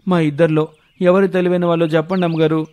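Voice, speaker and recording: A man's voice: fairly high pitch, flat delivery, fast, darkish tone, faint music behind, close to an everyday voice. A man voicing two silly village simpletons who bicker childishly over which one is cleverer. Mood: squabbling. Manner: childish bickering, sing-song whine. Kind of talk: cartoon voice acting.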